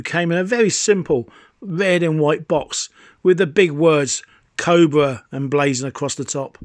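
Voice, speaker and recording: Middle-aged man, slightly raised pitch, savouring enthusiastic delivery, exclamatory, hobby presenter, very dry sound. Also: no room sound. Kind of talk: enthusiastic product showcase narration.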